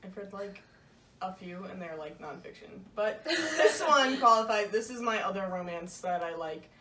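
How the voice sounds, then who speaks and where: A woman's voice: medium pitch, lively intonation, normal speed, bright, forceful tone. A young woman co-hosting a casual book vlog, talking earnestly in a loose, conversational way. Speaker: book vlogger, co-host. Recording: echoey room, distant mic, faint noise.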